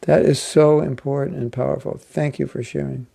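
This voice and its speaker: A man's voice: mid-range voice, little pitch movement, normal speed, vocal fry, warm tone. A man giving a casual, joking class as a spiritual teacher, chatty and rambling. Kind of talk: casual online class talk.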